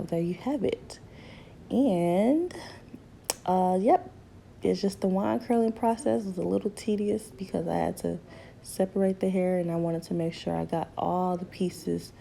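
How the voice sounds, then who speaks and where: A hoarse young woman chats her way through a hair tutorial in a small bedroom, her low voice gravelly and mellow. She talks to her viewers easily, calling them ladies and honey. A faint rumble sits under the camera mic. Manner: chatty, relaxed, addresses viewers as ladies and honey. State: hoarse from a cold. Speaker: beauty vlogger. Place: small bedroom at home, indoors. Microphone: camera mic, seated close in front.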